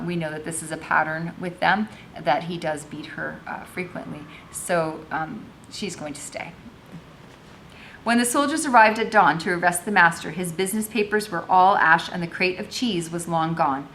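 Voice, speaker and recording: A woman's voice: fairly low pitch, moderate pace, fairly bright tone, slight background noise, low-quality recording. A woman teacher reading a novel aloud, moving between narration and informal explanatory commentary.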